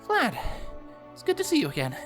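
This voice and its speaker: A man voicing a young female unicorn princess, a nervous and earnest little sister with a soft, hesitant way of talking, in a storybook reading. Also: very high-pitched, wildly swooping pitch, a little fast, voice pushed up, heavily acted. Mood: startled. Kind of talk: audiobook character voice in fan fiction. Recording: music playing behind the voice.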